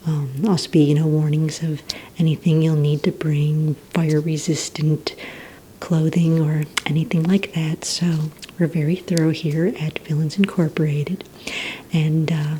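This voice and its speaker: Casting: woman, low-pitched, breathy half-whisper, courteous receptionist in an ASMR roleplay, some low rumbling noise on the recording. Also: little pitch movement. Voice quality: soft, breathy, mostly whispered. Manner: gentle, polite, unhurried customer-service patter. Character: courteous, organized female villain-agency receptionist. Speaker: ASMR roleplay performer as a villain recruitment receptionist. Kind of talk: soft-spoken ASMR roleplay.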